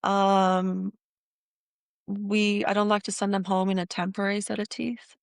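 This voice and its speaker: Gravelly, flat-toned woman from Iran. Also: mid-range voice, no room sound, clean studio sound. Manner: relaxed, many "um" pauses. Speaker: implant dentist guest. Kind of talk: casual interview talk.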